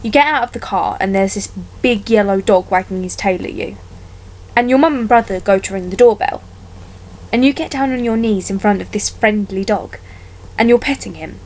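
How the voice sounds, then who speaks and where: A woman performing a dramatic monologue as a wounded young woman haunted by a childhood attack, telling it haltingly with deliberate pauses. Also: mid-range voice, a little mellow, close to an everyday voice, rough recording. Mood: tense recollection.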